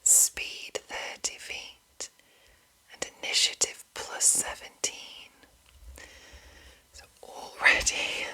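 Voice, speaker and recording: A woman whispering in a breathy, unvoiced ASMR style, reading deliberately with pauses between short phrases. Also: sharp tone, rough recording. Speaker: ASMR host. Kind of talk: whispered ASMR reading of fantasy game rules.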